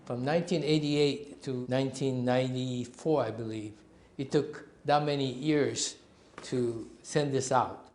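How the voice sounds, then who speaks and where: A man in his seventies speaks earnestly, pressing his points with emphasis. His voice is middle-pitched and carries a clear room echo around it. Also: fairly even intonation, a little slow, a little mellow. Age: 70s. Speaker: interviewee. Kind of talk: documentary interview. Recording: echoey room.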